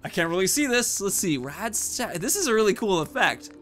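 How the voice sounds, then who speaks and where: Male gaming host, higher-pitched and bright, casual think-aloud play commentary over music. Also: sing-song, fairly quick. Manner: thinks aloud, narrating own moves and choices. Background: video game music.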